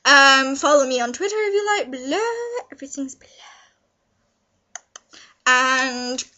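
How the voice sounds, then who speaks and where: A woman's voice: high voice, expressive, measured pace, pushed and bright. A young woman giving a casual, unscripted video review in a rambling, gushing way, repeating words for emphasis. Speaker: vlogger reviewing visual novels.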